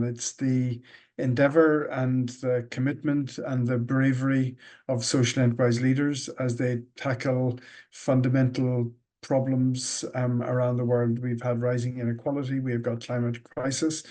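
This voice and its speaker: A man's voice: medium pitch, a little mellow. A middle-aged man with an Irish accent, answering interview questions thoughtfully with many ums and uhs. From Ireland. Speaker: guest, managing director.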